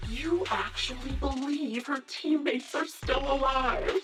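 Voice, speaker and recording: A woman's voice: sits high, a little slow, distant mic, music in the background. A woman voicing a sadistic sniper villain in a video game, mock-polite, teasing and theatrical. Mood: needling.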